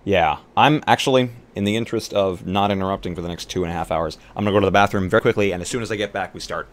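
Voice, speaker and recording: A man's voice: deep voice, rapid-fire. A man chatting casually with stream viewers and a co-host in an easygoing, informal, joking way. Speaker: live-stream roleplay player.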